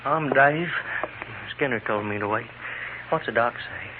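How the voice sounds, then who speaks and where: An actor playing a simple, loyal young cowhand in a 1950s radio western, earnest and plain-spoken with a folksy drawl. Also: male voice, mid-range voice, unhurried, lightly acted. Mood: anxious, earnest. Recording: muffled radio with background noise.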